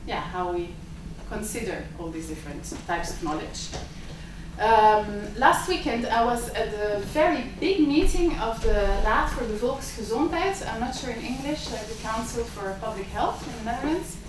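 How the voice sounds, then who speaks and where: A woman's voice: on the high side, expressive, normal speed. Italian-native woman host, hesitant delivery with fillers, recorded from afar in a noisy reverberant seminar room. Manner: hesitant, many filler sounds. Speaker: symposium host and lab director. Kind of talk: opening remarks at an academic event. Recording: echoey lecture room, mic far off.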